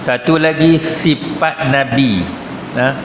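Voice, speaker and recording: A man's voice: medium pitch, steady tone, slow. A man with a northern Malay Kedah accent giving a colloquial, explanatory mosque lecture as an Islamic teacher, often checking in with short questions. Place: mosque hall. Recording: echoey hall, reverberant, noticeable background noise.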